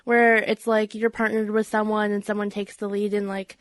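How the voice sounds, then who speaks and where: A woman's voice: medium pitch, little pitch movement, moderate pace. A woman comedian chatting casually as a podcast guest, laughing often and filling her speech with "like.". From Minneapolis area, USA.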